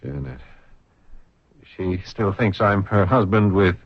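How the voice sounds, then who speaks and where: Deep, husky, anxious patient. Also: male voice, animated, no echo, full character voice. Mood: hesitant, guarded. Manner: halting, hesitant. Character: anxious, secretive male heart patient in hospital. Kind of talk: radio drama acting. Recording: dull, dry vintage radio recording.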